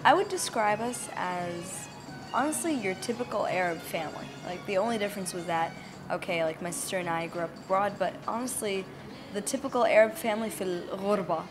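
A woman's voice: mid-range voice, brisk, over music. A young woman, from Egypt and raised in the United States, talking casually with lots of fillers and switching into Arabic now and then. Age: young adult. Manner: chatty, many fillers, slips into Arabic. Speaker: documentary subject. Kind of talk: casual interview talk. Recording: audible background noise.

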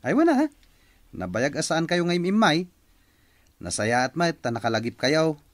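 A man's voice: on the high side, sing-song, moderate pace, no room sound. A man playing an agreeable younger village nephew in an Ilocano comedy radio drama. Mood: polite, hesitant.

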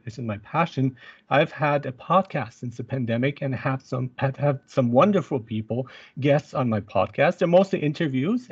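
A middle-aged man giving a presentation over a video call, pausing often with fillers and restarting his sentences. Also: medium pitch, vocal fry, husky. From born in Iran, grew up in Germany. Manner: halting, many fillers and restarts. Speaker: university instructor giving a talk. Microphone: video call webcam mic. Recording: dull video call audio.

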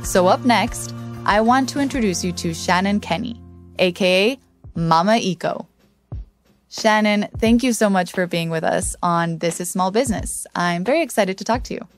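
A woman's voice: mid-range voice, normal speed, faint music behind. A woman hosting a podcast, moving between scripted narration and interview questions. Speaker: podcast host.

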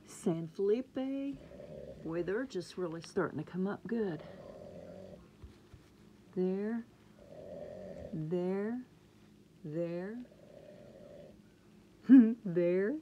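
A woman chatting excitedly about her seedlings, sometimes dropping to a murmur, recorded on a handheld phone indoors. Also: mid-range voice, extremely slow, pauses often, dull audio, a little hiss or hum. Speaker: gardening vlogger. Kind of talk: casual home gardening vlog. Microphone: phone camera held close.

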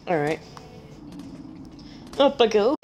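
A woman with a deep voice, chatting casually as she plays a game on stream, joking at herself and humming while she searches. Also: very animated, slow, a little mellow, slight background noise. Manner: chatty, self-mocking, giggly, hums while searching. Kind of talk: casual gameplay commentary.

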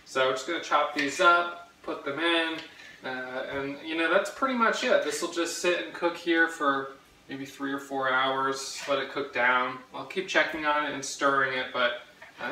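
A man's voice: mid-range voice, recorded from a distance, slight background noise. Chatty man talking while cooking. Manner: rambling, relaxed, many fillers while chopping. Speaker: host cooking on camera. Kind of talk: casual cooking vlog. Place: home kitchen, indoors. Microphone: camera across the kitchen. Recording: echoey kitchen, voice from off-mic.

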